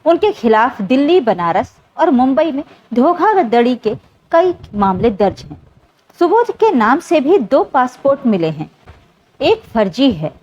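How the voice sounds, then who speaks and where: A woman narrator voicing a steady, righteous male police inspector in a story, firm in manner. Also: high voice, unhurried, full character voice. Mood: firm, reassuring. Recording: dull, lo-fi sound.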